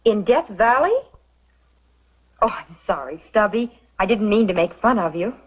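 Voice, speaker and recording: An American actress playing a kind, gentle young woman from a mining camp in a vintage western. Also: mid-range voice, animated, moderate pace, breathy edge. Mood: friendly, apologetic. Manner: gentle, friendly. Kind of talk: 1950s-60s western TV acting. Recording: dull vintage soundtrack, faint music behind.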